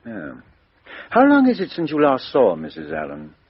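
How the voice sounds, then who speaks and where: Radio play policeman: British, methodical, husky, with lively intonation and a dry, close sound. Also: medium pitch, moderate pace, no echo. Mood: businesslike. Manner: methodical questioning with hesitant lead-ins. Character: businesslike, methodical male British police inspector. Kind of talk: radio drama acting. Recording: dull, lo-fi radio drama recording.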